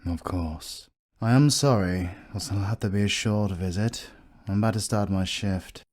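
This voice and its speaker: A British man playing a proud, courteous army captain in a fantasy audio roleplay, speaking formally and with measured care. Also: on the low side, darkish tone, natural voice. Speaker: voice actor.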